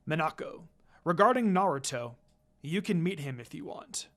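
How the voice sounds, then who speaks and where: A kindly old man who leads a ninja village, as a woman reader puts him on. He speaks gently and apologetically, as in an anime fan story read aloud. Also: low-pitched, lively intonation, moderate pace. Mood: gentle, apologetic. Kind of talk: fan fiction character dialogue read aloud.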